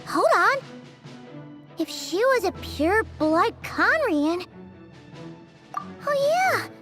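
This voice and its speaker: A woman voicing a tiny, excitable childlike girl companion in a fantasy video game, squeaky and blurting. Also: very slow, music in the background, full character voice.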